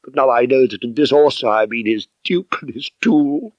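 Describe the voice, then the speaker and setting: A man's voice: medium pitch, lively intonation, normal speed, no room sound, dull audio, close to an everyday voice. A man voicing an anguished, bitter woman, an abused wife, with impassioned melodrama in an audiobook story.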